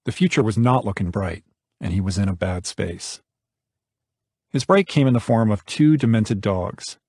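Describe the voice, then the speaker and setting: A man's voice: on the low side, normal speed, darkish tone, no echo, clean studio sound. A man narrating a nonfiction audiobook from a script.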